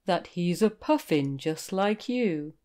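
British lady doing a kind big whale from a kids book, really slow n expressive, swoopy pitch. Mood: cheerful, surprised.

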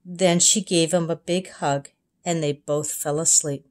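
A woman narrating a children's storybook as a kindergarten teacher, expressive, in clearly separated phrases. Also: deep voice, measured pace. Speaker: kindergarten teacher reading aloud. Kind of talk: storybook read-aloud narration.

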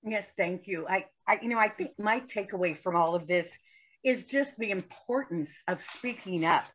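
A woman's voice: mid-range voice, normal speed, fairly bright tone, lo-fi sound, a little hiss or hum. A woman participant on a video call telling a personal story in a workshop, earnest and full of conviction. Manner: earnest, recites a poem with conviction.

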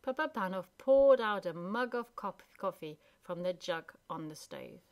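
A woman storyteller reading a storybook aloud in an expressive narrating style. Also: lowish voice, a little slow.

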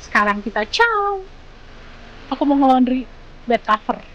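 A woman's voice: high voice, very animated, a little slow, muffled recording, noisy background. A woman chatting casually on location as a family travel vlogger, showing places to her viewers. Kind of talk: casual on-location vlog chat. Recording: music over the voice.

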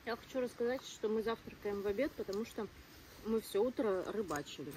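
A woman's voice: mid-range voice, animated, fairly quick, darkish tone, distant mic, a little hiss or hum, low-quality recording. A woman in her thirties chatting playfully and teasing at an outdoor riverside campsite, recorded from the camera. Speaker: vlogger. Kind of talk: casual chat.

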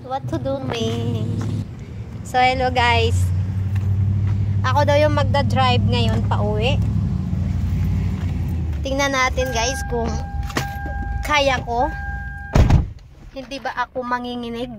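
A woman's voice: fairly high pitch, steady tone, slow pace, pauses often. A Filipino woman in her thirties with a Filipino accent, chatting casually to a phone as a vlogger. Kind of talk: casual chat to camera. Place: inside a car and a discount store. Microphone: handheld phone at arm's length. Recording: clear ambient car and store noise.

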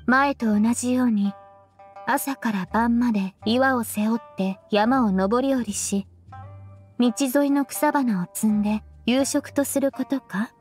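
An actress playing a stoic, literal-minded young female disciple, speaking in a deadpan, formal, blunt way in a Japanese game. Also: a little high, unhurried, soft background music, lightly acted. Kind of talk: Japanese video game voice acting.

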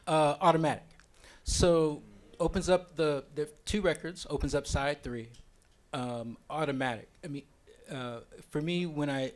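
Male panelist, mid pitch, lecture hall mic, halting and self-conscious delivery. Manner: hesitant, filler-heavy, self-conscious. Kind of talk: panel answer. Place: lecture hall on a small stage.

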